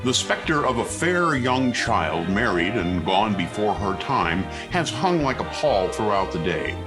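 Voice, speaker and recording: An actor narrating a 1940s radio comedy as a droll, mock-solemn storyteller. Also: male voice, lowish voice, big pitch swings, measured pace. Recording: old radio recording, music behind. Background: loud music.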